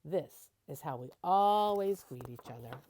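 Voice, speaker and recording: A woman reading a children's picture book aloud like a teacher, expressively, pausing to explain words simply. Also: low voice, animated, darkish tone.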